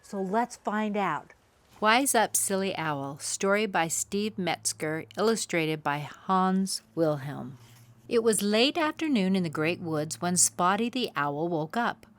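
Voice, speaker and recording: A woman hosting a read-aloud, telling a children's picture-book story with expressive, deliberate phrasing for young listeners. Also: on the low side.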